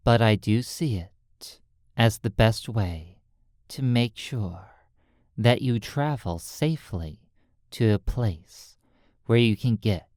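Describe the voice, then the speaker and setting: A hypnotist guides a sleep session at a very unhurried pace. The delivery is soft and soothing, broken into short, deliberate phrases. The voice sits close on the mic in a dry, echo-free space. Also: mid-range voice. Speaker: hypnotist and recording host.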